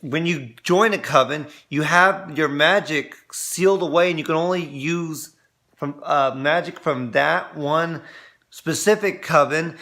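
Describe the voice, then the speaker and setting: A man reviewing an episode in casual chat, explaining things earnestly and haltingly as he goes. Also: a little high, bright, forceful tone.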